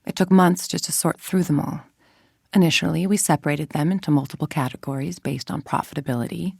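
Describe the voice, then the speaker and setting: A woman playing a cold, calculating villain scientist-executive in a video game, speaking in a measured, imperious, menacing way with a husky, creaky voice. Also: deep voice, a little mellow, dry recording, clean studio sound, heavily acted. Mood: smug, scheming. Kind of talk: scripted dramatic video game monologue.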